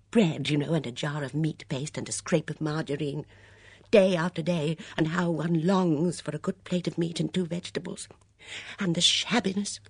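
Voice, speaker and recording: A woman reading aloud voices a fluttery, anxious elderly spinster companion in a breathy, whispery, gushing manner. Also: sits low, a little mellow, dry recording, heavily acted. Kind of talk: audiobook character voice.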